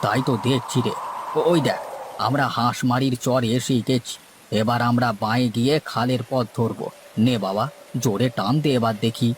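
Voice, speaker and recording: A man's voice: mid-range voice, fairly even intonation, moderate pace, slight background noise, close to an everyday voice. A man playing a seasoned, boastful village boatman in a cartoon, with a folksy, lecturing manner. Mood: cautioning, commanding. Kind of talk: cartoon story dialogue.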